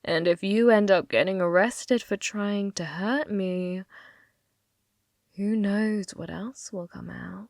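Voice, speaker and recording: A woman playing a possessive, manipulative schoolgirl childhood friend in an ASMR roleplay, coy and teasing, with breathy, sweetly menacing delivery. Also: medium pitch, a little mellow, dry recording, studio quality. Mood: quietly threatening. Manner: coy, teasing, drawn-out phrasing dropping into breathy whispers.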